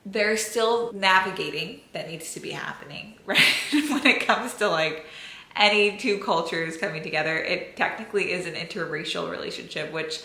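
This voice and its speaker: A woman's voice: lowish voice, moderate pace, creaky, sharp tone. A young American woman chatting casually to camera, answering viewer questions in an open, filler-heavy way. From United States. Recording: echoey room.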